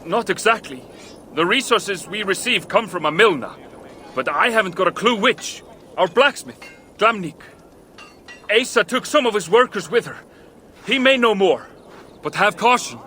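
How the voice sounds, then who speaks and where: An actor voicing an excitable male dwarf metalworker in a video game, theatrical and exclamatory. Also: high voice, normal speed, pauses often, a little hiss or hum. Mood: wary, helpful. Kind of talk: video game cutscene acting.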